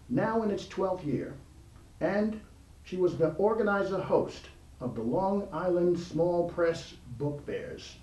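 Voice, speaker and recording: A man's voice: fairly high pitch, warm tone. A man hosting an interview show, formally reading out his guests' credits in long lists. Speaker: interview host. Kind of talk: formal introductions for a talk show. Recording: echoey room, mic at a distance.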